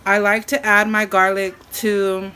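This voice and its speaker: A mid-pitched woman talks through her cooking in a relaxed, rambling way. She throws in crude jokes between steps. A frying pan sizzles clearly in the home kitchen behind her. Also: unhurried, a little hiss or hum. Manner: bawdy, joking, rambling. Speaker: cooking vlogger. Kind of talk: casual cooking chat.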